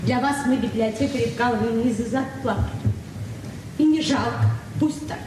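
Radio drama: actress as naive, idealistic librarian in her forties, higher voice, heartfelt delivery, distant noisy 1970s recording. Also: echoey room, natural voice. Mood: earnest, tender. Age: 40s. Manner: earnest, heartfelt speechmaking with searching pauses. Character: idealistic, earnest librarian woman past forty, naively open-hearted. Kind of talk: Soviet radio play acting.

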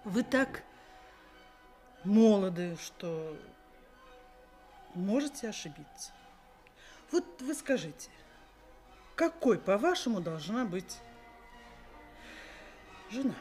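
A middle-aged woman's voice with big swoops of intonation and a very slow, deliberate delivery. She plays a genteel, probing mother of a bride in a radio drama, insinuating and theatrical. Quiet music runs behind the 1980s recording. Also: mid-range voice, sing-song. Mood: knowing, condescending. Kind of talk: theatrical radio play acting.

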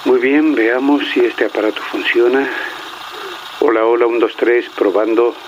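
An actor playing a solemn gentleman recording his formal, deliberate testimony in a radio drama monologue. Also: steady tone, muffled recording, natural voice. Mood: testing, matter-of-fact. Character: solemn male narrator recording his testimony about a strange case. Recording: noisy recording with ambient rumble.

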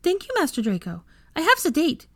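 A woman voicing a squeaky, nervous, deferential female house-elf servant in an audiobook fantasy story. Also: huge pitch swings, brisk, fairly bright tone, no echo, heavily acted. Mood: nervous, shy. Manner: deferential, broken grammar.

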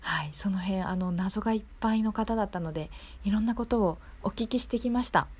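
A woman's voice: mid-range voice, a little fast, darkish tone, slightly rough. A woman hosting a chatty local radio interview, speaking politely and keenly and showing appreciation for her guest.

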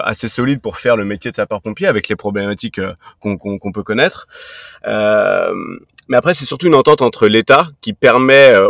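A man's voice: medium pitch, fairly bright tone, no echo, studio quality. A man in his thirties from Brittany, a fitness coach answering interview questions casually, often hesitating with fillers and restarts. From Brittany, France. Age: 30s. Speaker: podcast guest, fitness coach.

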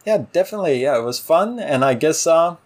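A man's voice: a little high, animated, fairly quick. A man co-hosting a podcast, casually discussing television with opinionated, emphatic delivery. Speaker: podcast co-host.

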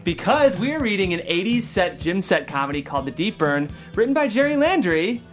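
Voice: mid-range voice, sing-song, a little fast, music in the background. Chatty upbeat host, voice goes up and down a lot, reading out a script's action lines. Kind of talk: hosting a table read and reading stage directions.

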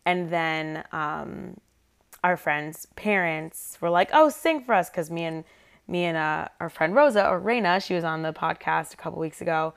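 A young woman co-hosts a podcast with a fairly low voice. It stays clear, though slightly worn from recently losing it. She talks casually and informally, rambling through her stories. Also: moderate pace. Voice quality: slightly raspy from lost voice. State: voice worn from shouting and singing. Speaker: podcast co-host. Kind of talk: casual chatty podcast conversation.

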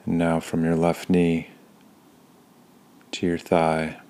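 Male meditation guide: low, even, unvarying delivery, calm and soothing, with unhurried pauses between phrases. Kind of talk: guided body scan meditation.

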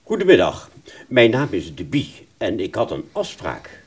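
A man's voice: on the low side, huge pitch swings, a little slow, breathy edge, heavily acted. A man playing a polite, talkative, slightly flustered hair salon customer in a radio play, rambling with hesitations. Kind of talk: Dutch amateur radio play dialogue.

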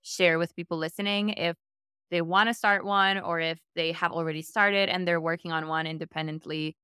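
A woman interviews in a relaxed, conversational style, agreeing and adding her own points. Her voice sits a little low yet is bright and clear, recorded dry. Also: normal speed, dry recording. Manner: affirming, builds on guest's points. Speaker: podcast host, interviewer.

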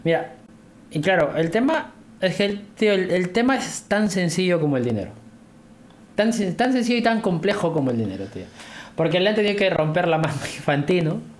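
A man with a Peruvian accent chatting casually on a podcast in an animated, rambling, slangy way. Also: high voice, brisk, slight background noise. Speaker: podcast host.

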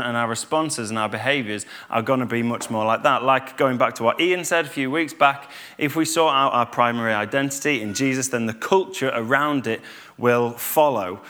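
A man, giving a calm, conversational church talk with fillers and restarts. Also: mid-range voice. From Yorkshire, England. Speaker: church speaker.

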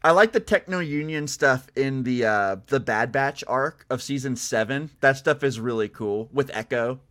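A man chatting casually as a podcast guest at a film watch party, reacting with warm enthusiasm. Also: mid-range voice, normal speed.

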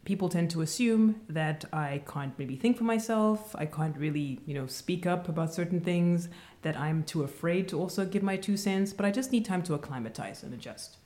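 A woman co-hosting a casual podcast chat, speaking in a calm, measured and reserved way. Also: fairly low pitch. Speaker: podcast co-host.

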